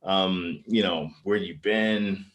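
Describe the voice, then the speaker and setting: A man hosting a relaxed online interview, from California. His voice is slightly low and his manner is loose and friendly, with slang thrown in. He asks his questions in an easygoing way. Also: slow pace. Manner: friendly, casual, says man and bro. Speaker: host of online talk. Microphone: video call.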